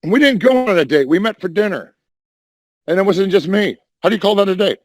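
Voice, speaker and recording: Hoarse man rambling on a voice call. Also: sits high, expressive, a little fast, no room sound, studio quality. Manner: rambling, defensive, repeats and restarts. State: hoarse, says voice is damaged. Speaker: Discord voice chat caller. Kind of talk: rambling casual chat.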